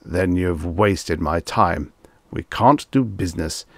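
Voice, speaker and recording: A man's voice: low voice, slow pace, gravelly, dry recording, exaggerated voice. A man voicing a rich, impatient bully in an audiobook story, with a curt, growling manner. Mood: angry.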